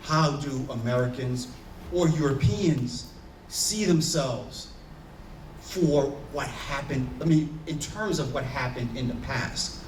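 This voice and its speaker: A man with a Haitian accent talks on a conference panel in an earnest, searching way, often restarting his sentences. His voice sits at an ordinary pitch. It is caught from a distance in an echoey room with a faint hum behind it. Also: takes it slowly. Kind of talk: reflective personal talk on a panel.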